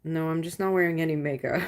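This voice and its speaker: A young adult woman with a low voice, chatting casually with viewers on a livestream, rambling and swearing with lots of "like". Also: steady tone, fast talker. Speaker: livestream host.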